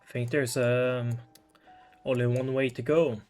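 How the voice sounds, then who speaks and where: A man giving casual playthrough commentary as a game streamer, low-key and thinking aloud. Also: flat delivery, measured pace, a little mellow. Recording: game music behind the voice.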